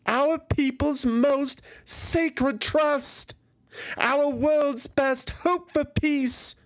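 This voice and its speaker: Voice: sits high, very slow, pitched above the performer's own voice. A narrator voicing an anguished young feathered warrior woman in a fantasy audio story, impassioned and pleading, full of despair and self-loathing.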